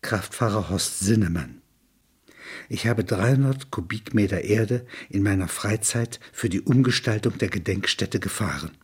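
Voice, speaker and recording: A man reading scripted literary prose in a measured, sober manner, with a husky, rough-edged voice. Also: on the low side. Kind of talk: scripted narration of a literary audio piece.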